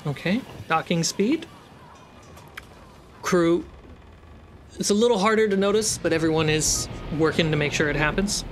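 A man narrating a tabletop fantasy game as game master in deliberate, halting phrases, with music behind him. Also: on the high side.

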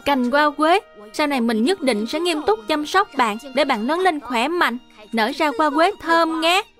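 A woman's voice: sits high, lively intonation, unhurried, a bit bright, soft background music. A woman playing an earnest, caring little boy in a dubbed children's cartoon.

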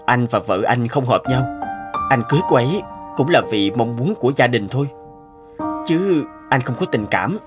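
An actor voicing a smooth, courteous male company director in a cartoon, speaking gently and suavely. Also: medium pitch, expressive, normal speed, natural voice. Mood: wistful, coaxing. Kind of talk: animated cartoon dialogue. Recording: loud piano music under the voice.

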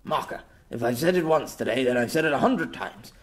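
A proper old former knight, formal and a little flustered, stumbling over his words when embarrassed, as a male narrator plays him. His voice moves lively through its range in a storybook reading. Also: medium pitch, fairly quick, lightly acted. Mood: explaining.

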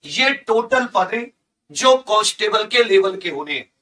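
A man's voice: sits high, animated, unhurried, sharp tone, distant mic. A man, teaching emphatically and repeating key figures and points for his students. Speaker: online coaching teacher. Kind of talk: instructional lesson explaining job vacancy notices. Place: indoor teaching studio with large digital board.

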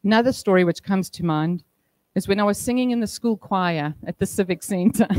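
A woman's voice: mid-range voice, normal speed, no echo. Woman giving a heartfelt eulogy. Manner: heartfelt, with light humor and soft laughs. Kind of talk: memorial eulogy. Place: indoor function room at a memorial service. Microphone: handheld microphone.

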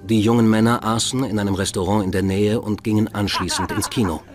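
Man, low pitch, scripted true crime narration, documentary podcast, prominent background music. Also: steady tone, a little fast. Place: voice-over with music behind.

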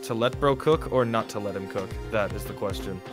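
A man's voice: lowish voice, brisk. A man live streamer chatting casually as he comments on a game. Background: game music.

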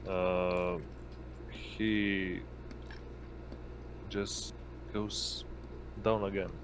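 A man narrating as game master of an online roleplaying game, with hesitant, halting delivery over voice chat. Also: low voice, level tone. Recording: background noise on voice chat.

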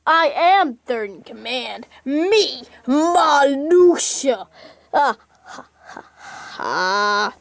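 A woman acts out a preening, bossy man in a homemade comedy skit. The delivery is unhurried and pompous, wandering airily from one demand to the next, in a dry, close sound. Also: high-pitched, sing-song, gravelly, dry recording, exaggerated voice. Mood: smug. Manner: pompous, airy, rambling demands. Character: vain, bossy, self-important man demanding pampering. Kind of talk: amateur comic fan skit.

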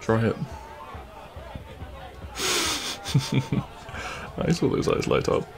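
A man playing a cheeky, kind-hearted bandit prince in an intimate audio roleplay, with a gravelly voice and a teasing, reassuring manner. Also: normal speed, natural voice. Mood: cheerful.

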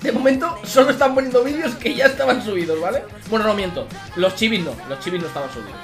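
A young adult man from streaming from home, chatting casually with loud excited outbursts and swearing. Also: high-pitched, fast talker, over music. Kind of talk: casual live-stream chat.

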